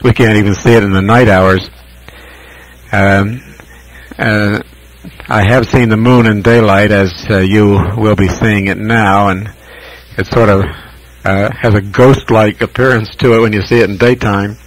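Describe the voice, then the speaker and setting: An American man chatting casually as a ham radio operator over shortwave, measured and hesitant, pausing often as he describes things. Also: lowish voice, steady tone, rough recording.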